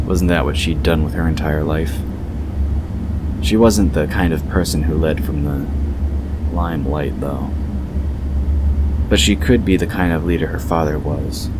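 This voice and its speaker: A man with an extremely low voice narrates a scripted story as in an audiobook. A loud hum runs behind his reading.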